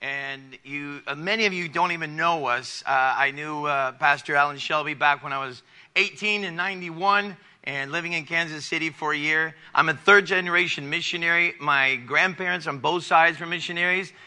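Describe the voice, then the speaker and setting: A man in his forties, a missionary giving a church testimony over slides, halting with many fillers and restarts. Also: fairly high pitch, pushed and bright. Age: 40s.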